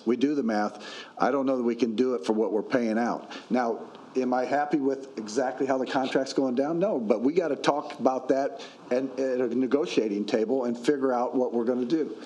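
A man's voice: mid-range voice, darkish tone, slight background noise. A middle-aged man, an alderman, talking in a folksy, rambling way at a council meeting. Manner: folksy, rambling, self-deprecating. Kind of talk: council debate. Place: council chamber. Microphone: desk microphone.

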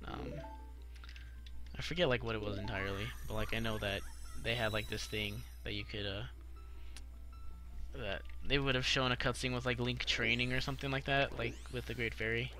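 A man's voice: mid-range voice, fairly even intonation, moderate pace, bright, forceful tone, soft background music. A young man giving casual, foul-mouthed, slangy live commentary while streaming a video game. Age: young adult.

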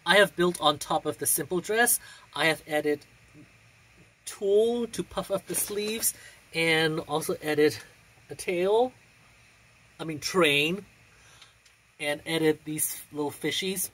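Male drag performer, slightly high husky voice, deliberate and theatrical, phone-recorded indoors. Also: fairly bright tone, low-quality recording. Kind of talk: casual vlog chat and expressive poetry reading. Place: small room indoors. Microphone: phone on a stand a short distance away.

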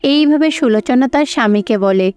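A woman's voice: a little high, animated, moderate pace, darkish tone, clean tone. A woman reading scripted storybook narration for a cartoon. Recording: faint music behind.

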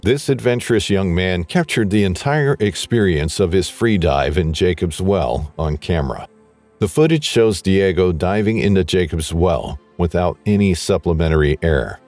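Male documentary narrator, very low voice, steady measured read, soft music underneath.

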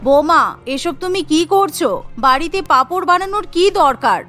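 A woman's voice: very high voice, normal speed, bright, forceful tone, faint music behind. A woman voicing an older, concerned mother-in-law who fusses and scolds in a cartoon story. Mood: puzzled, chiding.

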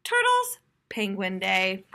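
An American woman in her twenties, a teacher reading aloud to young children in an expressive, explanatory way. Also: mid-range voice, slow, vocal fry. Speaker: primary school teacher. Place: classroom, indoors.

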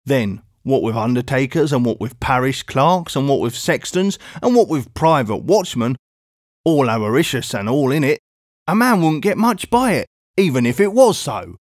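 A man's voice: medium pitch, very animated, moderate pace, no room sound. Male reader as a pleading Cockney laborer, evasive and long-winded, dry close sound. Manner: wheedling, rambling, dialect-heavy. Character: cockney odd-job man, pleading, evasive.

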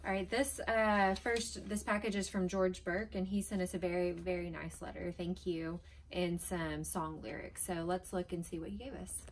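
A woman in her thirties with a slightly low voice reads fan mail aloud in a cheerful, giggly way. She speaks in a big warehouse, and a faint hiss sits behind her voice. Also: fairly even intonation, moderate pace. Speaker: co-host, host's wife. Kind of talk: casual mail opening. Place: large indoor warehouse.

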